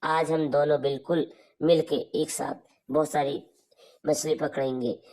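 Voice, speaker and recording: A woman's voice: low-pitched, fairly even intonation, unhurried, a little mellow. A woman voicing an eager, boastful little village boy in a cartoon story.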